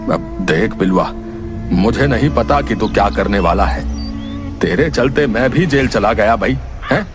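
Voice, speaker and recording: A bitter, self-important middle-aged headman from a cartoon village tale, acted out by a man. He blusters and curses in a rustic way, with very animated swoops of pitch. Music plays clearly behind him. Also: unhurried. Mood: bitter, grudging. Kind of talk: cartoon moral-story acting.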